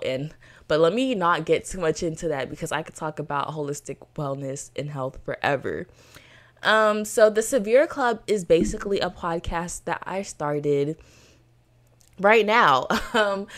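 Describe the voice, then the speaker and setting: A nineteen-year-old woman from Florida hosting her own podcast, talking in an enthusiastic, chatty way with lots of "you know" fillers. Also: lowish voice. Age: teens. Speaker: podcast host. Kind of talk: solo podcast monologue.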